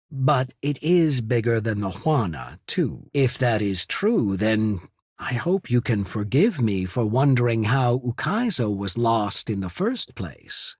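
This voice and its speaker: Husky, calculating military strategist. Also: male voice, mid-range voice, no echo, clean studio sound. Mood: measured, skeptical. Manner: measured, formal, subtly calculating. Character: cool, shrewd, courteous male military strategist and official. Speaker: video game character voice. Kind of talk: scripted game character dialogue.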